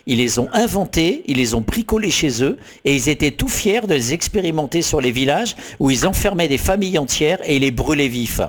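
A man's voice: fairly high pitch, animated, brisk, few pauses. A man speaking emphatically on radio, repeating phrases for force. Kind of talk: impassioned radio monologue.

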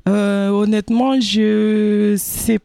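A woman singer and musician from France, interviewed, speaking hesitantly with many pauses and fillers. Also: mid-range voice, level tone, gravelly, dark tone, dry recording, studio quality. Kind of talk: casual interview.

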